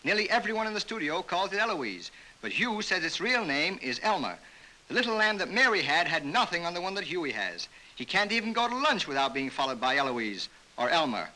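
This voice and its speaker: High, sharp-voiced 1930s American newsreel narrator, male. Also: very animated, a little fast, rough recording. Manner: jaunty, wisecracking. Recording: bright vintage 1930s newsreel soundtrack.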